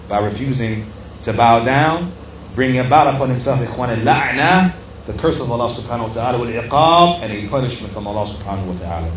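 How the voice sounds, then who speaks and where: A man giving an Islamic religious lecture as a teacher, explaining a text emphatically and repeating key phrases for stress as he addresses his listeners. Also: medium pitch. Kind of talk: religious lecture, reading aloud from a book and explaining it. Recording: echoey room with faint background noise.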